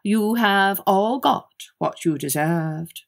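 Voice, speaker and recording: A woman's voice: medium pitch, gravelly. Woman voicing a stern old holy man. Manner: measured, stern.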